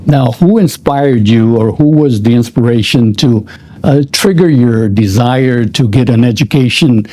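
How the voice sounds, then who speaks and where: A male interviewer with a dark, mellow tone and a mid-range pitch. He asks long, winding questions, restarting himself as he goes. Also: measured pace, poor audio.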